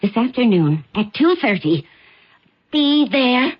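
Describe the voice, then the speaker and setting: A stern, high, husky wife. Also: female voice, very animated, takes it slowly, full character voice. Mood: controlled anger. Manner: firm, clipped, insistent. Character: stern, insistent wealthy wife holding her temper. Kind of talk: radio drama acting. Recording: dull old radio recording.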